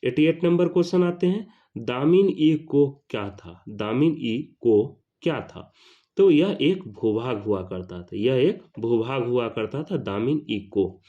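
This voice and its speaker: A man with an Indian accent teaching an exam question-and-answer lesson, deliberately repeating each question and answer. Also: medium pitch, lively intonation, highs cut off.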